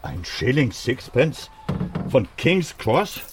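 A man's voice: mid-range voice, sing-song, takes it slowly. An actor playing a middle-aged father in a radio drama, affectionate, thrifty and inquisitive. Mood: indignant, curious. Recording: very noisy outdoor street scene.

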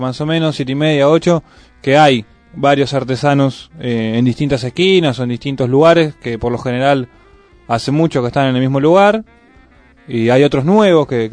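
A man's voice: fairly high pitch, darkish tone, dry recording. Buenos Aires man commenting over music. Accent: Buenos Aires Argentine Spanish. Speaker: radio show commentator. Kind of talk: casual local news commentary. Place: radio studio. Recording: music playing behind the voice.

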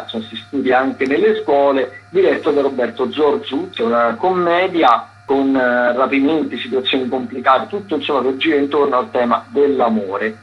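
Male theatre critic for radio, chatty mid-pitched delivery, lo-fi sound over faint music. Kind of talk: conversational radio events round-up.

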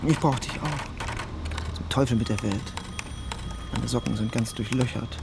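Over clearly audible background ambience comes a young police detective in a radio crime drama. He is lovestruck and quick to get irritated, talking naturally at a middle pitch. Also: heavy background noise. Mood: tender, teasing. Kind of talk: naturalistic German radio play dialogue.

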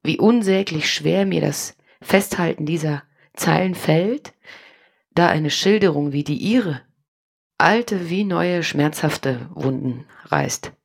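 An actress playing a solemn, remorseful woman reading a formal letter in a radio drama, measured and deliberate, with a husky, creaky voice. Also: low-pitched, full character voice.

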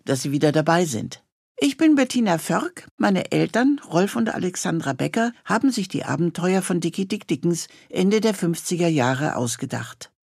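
A woman's voice: fairly low pitch, creaky, a little mellow, breathy edge, no echo, studio quality. A woman presenting a radio series with a scripted introduction and narration.

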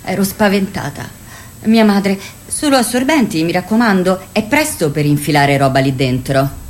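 An actress plays a blunt woman recounting girlhood frights for radio theatre. Her voice is rather low and very animated, with a faint noise behind it. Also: normal speed, lightly acted. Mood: startled recounting. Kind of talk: theatrical radio drama monologue.

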